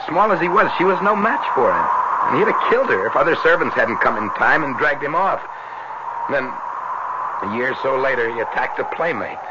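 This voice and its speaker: An actor playing a burdened, guilt-ridden older brother in a halting, earnest 1970s radio drama style. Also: male voice, mid-range voice, sing-song, close to an everyday voice. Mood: grave recounting. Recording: noisy, muffled vintage radio broadcast.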